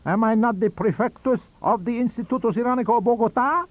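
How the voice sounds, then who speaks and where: A man voicing a pompous, excitable male alchemist professor centuries old, lecturing grandly and insultingly in an audiobook. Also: very high voice, fast talker, dry recording, narrow, tinny audio, pitched above the performer's own voice. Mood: haughty. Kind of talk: literary audiobook character reading.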